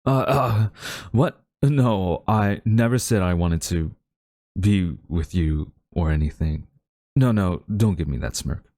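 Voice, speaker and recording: A very low, dark and mellow voice, recorded close and dry with no room sound. It is a haughty government official played by a man with a hidden tenderness. He speaks in formal, theatrical phrases, as in an intimate audio drama. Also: no room sound. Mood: flustered, defensive. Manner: formal, theatrical, deliberate wording. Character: haughty government official, male, secretly tender toward captured villain. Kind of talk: dramatic audio roleplay monologue addressed to listener.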